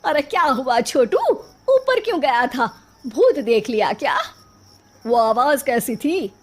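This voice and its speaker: A woman's voice: sits high, very animated, takes it slowly, heavily acted. A woman voicing a worried, scolding middle-aged mother in a cartoon story. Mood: alarmed, scolding. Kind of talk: Hindi cartoon story dialogue.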